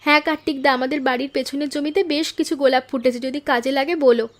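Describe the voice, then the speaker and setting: A woman's voice: high voice, fairly even intonation, fast, natural voice. A woman voicing earnest, hopeful young flower-selling sisters in a moral-story cartoon, speaking politely.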